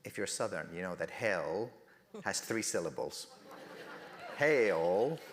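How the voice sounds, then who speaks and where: A man's voice: low-pitched, big pitch swings, slow, in a large hall, slight background noise. A middle-aged man whose first language is Persian, speaking earnestly at a faith festival and telling stories with rising emphasis. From Iran. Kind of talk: reflective spoken talk.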